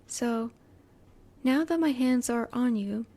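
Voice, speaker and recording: Soft, mellow alien woman, acted by a woman, formally curious. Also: a little high. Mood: curious, polite. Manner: formal, measured, inquisitive. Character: curious, emotionally reserved female alien, politely clinical. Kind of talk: intimate roleplay audio acting.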